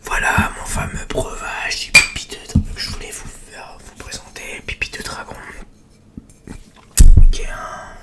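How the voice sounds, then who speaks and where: A person whispers a breathy, hesitant, rambling ASMR chat to viewers as a video creator. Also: a little slow.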